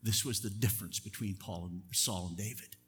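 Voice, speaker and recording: A middle-aged man, a pastor, preaching a sermon in an earnest, measured way, slowing down to stress key words. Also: on the low side, slight background noise. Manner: earnest, measured preaching with deliberate slow emphasis. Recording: long reverb of a large hall.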